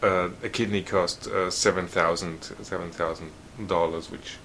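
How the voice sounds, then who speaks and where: Man, German native in English, low-ish voice, hesitant; faint noise. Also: fairly even intonation, moderate pace, a bit bright. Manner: hesitant, with repeated restarts. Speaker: guest. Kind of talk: casual chat.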